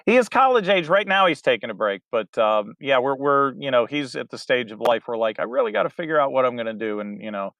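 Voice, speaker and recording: A man's voice: medium pitch, very animated, brisk, creaky, few pauses, fairly bright tone, no room sound. A man in his forties, a theater director, answering interview questions in a chatty, rambling way with many fillers.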